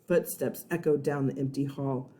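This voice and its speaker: A woman's voice: rumbling low, fairly even intonation, moderate pace, mellow. A woman reading a children's storybook aloud like a first-grade teacher, expressively, with explanations and check-ins for young listeners.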